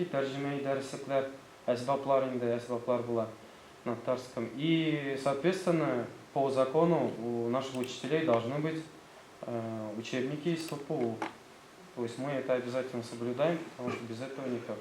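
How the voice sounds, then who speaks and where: A man with a Tatar accent, a school director presenting his gymnasium to visiting officials, hesitant and full of fillers. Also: mid-range voice. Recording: roomy sound, faint background noise.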